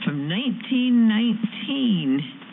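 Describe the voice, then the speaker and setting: A woman co-hosting a radio show, calmly agreeing and reading out record details. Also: fairly high pitch, takes it slowly, gravelly, phone-quality sound. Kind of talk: casual chat, announcing records. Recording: noisy shortwave radio broadcast.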